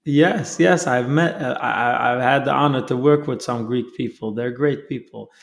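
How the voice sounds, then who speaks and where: A man's voice: mid-range voice, moderate pace, gravelly. A man hosting an interview, talking in an earnest, reflective way and often searching for his words. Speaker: host. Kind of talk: casual conversation.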